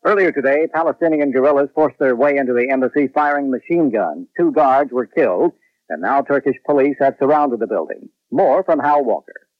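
Brisk American male radio newscaster. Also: medium pitch, lively intonation, no room sound. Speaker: network radio news anchor. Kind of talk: scripted newscast reading. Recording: dull, dry old radio broadcast.

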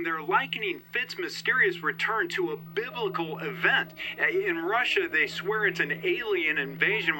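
Brief: a flustered male correspondent, high voice, broadcast sound. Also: low-quality recording. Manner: breathless, stammering. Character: chatty male foreign news correspondent.

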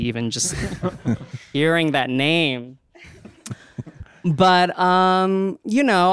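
Very clear-voiced man. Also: mid-range voice, no room sound, clean studio sound. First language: Cantonese. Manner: animated, with laughing asides. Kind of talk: casual chat.

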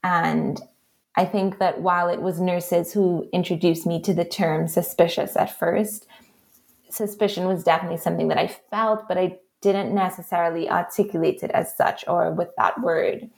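Trinidadian woman author, gravelly lowish voice, thoughtful interview answers. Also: steady tone. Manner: thoughtful, measured, frequent "right?" and "um". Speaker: author and researcher, interview guest.

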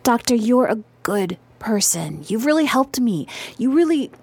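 Anxious, husky-voiced female patient. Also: on the high side, very animated, unhurried, a little mellow, dry recording, exaggerated voice. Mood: pleading. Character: anxious, pleading, fragile female therapy patient. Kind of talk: audio drama acting, naturalistic dialogue.

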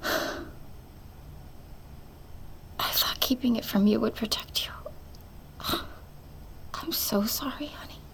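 Middle-aged mother, audio drama: protective, tender, emotionally raw, unhurried, with a crackle in the voice. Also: a little high, creaky, a bit bright, in a whisper, full character voice. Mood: sorrowful, confessing. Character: anguished, protective mother confessing a family secret. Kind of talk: audio fiction acting.

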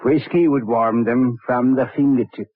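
A male actor as a poor, calculating murderer in a vintage radio drama. He is husky and deliberate, coaxing his way toward dark deeds. The recording is very dry and phone-like. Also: medium pitch, fairly even intonation, dry recording, lightly acted. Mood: impatient, scheming. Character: cold-blooded, scheming poor body-seller turned murderer. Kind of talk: old-time radio drama acting.

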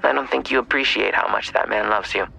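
An actor playing a menacing, taunting killer in an audio drama, with a raspy, creaky voice that slips into whispers. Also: male voice, lowish voice, fairly quick, sharp tone, faint music behind, exaggerated voice, low-quality recording. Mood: needling. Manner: taunting, insinuating, probing. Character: menacing, taunting male killer who runs a dark-web market.

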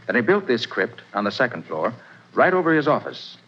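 A man in a 1940s radio play acting a confident detective who lays out the case in brisk, self-assured explanations. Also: mid-range voice, sing-song, a bit bright, breathy edge. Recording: dull old radio broadcast.

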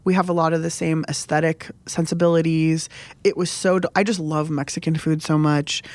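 A woman's voice: deep voice, darkish tone, no room sound. A young adult woman from San Diego, a cook and show host, talking casually in an interview, chatty and often laughing.

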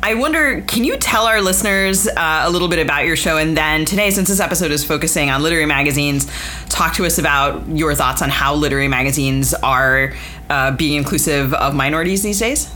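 Woman co-host chatting and asking stuff, very bright lowish voice, bit of noise. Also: a little fast. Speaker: podcast co-host. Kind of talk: casual interview hosting.

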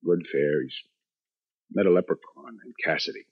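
An actor playing a feckless, good-hearted husband who jokes and rambles haltingly in a 1950s radio drama. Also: male voice, deep voice, animated. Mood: drowsy, fading. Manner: halting, rambling, self-deprecating. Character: feckless, joking husband, proud but ashamed, good-hearted. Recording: dull 1950s radio recording.

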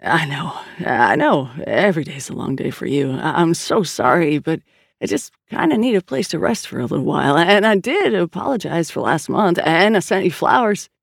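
A man acts out a pleading younger brother in an audiobook. He gushes and stammers over-eagerly, running on nervously, with a creaky edge in a very dry recording. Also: on the high side, animated, moderate pace, no echo. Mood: pleading, evasive.

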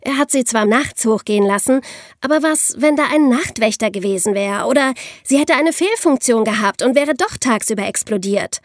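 A woman playing a young podcast host who explains crime cases to friends in a clear, patient, conversational way. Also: a little high, animated, normal speed, dry recording, clean studio sound, lightly acted. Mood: explanatory, engaged.

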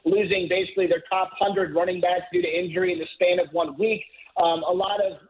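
Guest football writer, man, high voice, tinny phone line, fluent analytic talk. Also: steady tone, fairly quick, a little hiss or hum. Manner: fluent, analytical, repeats words when starting. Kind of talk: phone-in radio interview.

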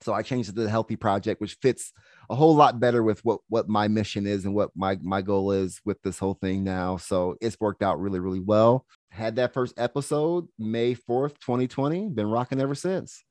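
A young man, a health coach, chatting casually in an interview, relaxed and laughing easily, with plenty of "you know"s. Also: lowish voice, moderate pace, dry recording, clean studio sound. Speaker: health coach and podcast guest.

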